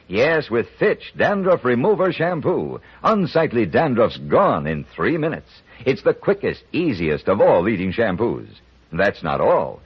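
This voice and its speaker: A man's voice: mid-range voice, husky. A man announcing in a formal, emphatic, scripted 1950s radio style. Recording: dull lo-fi old radio, music behind.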